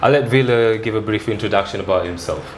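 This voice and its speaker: A man hosts a podcast and asks his guest questions in a friendly, encouraging way. His voice sits fairly low. The sound is echoey, with clear background noise behind him. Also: fast talker. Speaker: podcast host. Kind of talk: casual interview.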